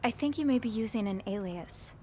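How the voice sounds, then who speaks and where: A woman's voice: a little high, expressive, fairly quick, mellow, a little hiss or hum, natural voice. A woman playing a young hotel maid who speaks earnestly and a bit hesitantly, somewhat naive. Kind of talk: video game voice acting.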